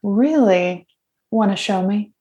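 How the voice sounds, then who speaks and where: A woman playing a tough, cynical starship captain with a blunt, deadpan delivery in a comedic sci-fi radio play. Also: medium pitch, slow pace, close to an everyday voice. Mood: dry, teasing.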